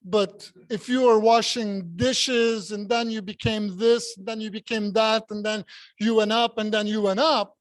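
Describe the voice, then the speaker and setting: A man's voice: sits high, steady tone, a little slow, no room sound, poor audio. A man who speaks English as a second language, giving a jokey, self-mocking speech at a club meeting and repeating words for emphasis. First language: not English.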